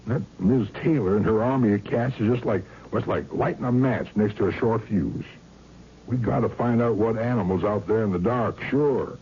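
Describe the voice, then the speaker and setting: A man playing a folksy, gruff small-town sheriff with a blustery manner, in an old-time radio drama. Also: lowish voice, normal speed, darkish tone, highs cut off, lightly acted. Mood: worried, insistent. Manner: folksy drawl, hesitant, blustery. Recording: thin, noisy vintage radio recording.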